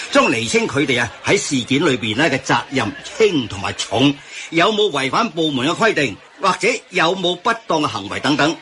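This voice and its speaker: A man's voice: a little high, bright, forceful tone, slight background noise. A man with a Hong Kong accent acting a well-informed friend who patiently explains news in a radio drama. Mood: reassuring.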